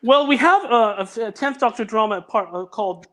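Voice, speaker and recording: A man's voice: sits high, brisk, sharp tone. A man who makes audio dramas, chatting on a video call in an earnest way and often restarting his sentences. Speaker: audio drama producer and guest. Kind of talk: casual podcast chat.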